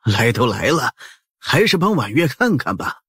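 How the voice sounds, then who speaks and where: 一个男演员在有声剧里扮演一位尴尬又端着官腔的中年胖知府，说话吞吞吐吐。. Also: male voice, medium pitch, sing-song, moderate pace, fairly bright tone, breathy edge, dry recording, clean studio sound, exaggerated voice. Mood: insistent. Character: plump, sheepish middle-aged male prefect of ancient China. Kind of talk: audiobook drama acting.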